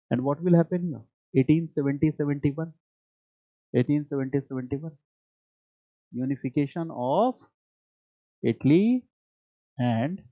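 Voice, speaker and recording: A male Indian coaching teacher with an Indian English accent, giving a live online lecture, repeating points slowly for dictation and mixing English with Hindi. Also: mid-range voice, no room sound. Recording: tinny phone-like audio.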